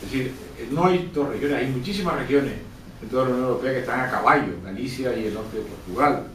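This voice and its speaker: A man's voice: mid-range voice, fast, a bit bright. A man answering questions at a book presentation, emphatic and repeating key phrases. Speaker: author and professor speaking at a book presentation. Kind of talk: public discussion, answering audience questions. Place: event room, distant mic. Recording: roomy, distant mic, faint noise.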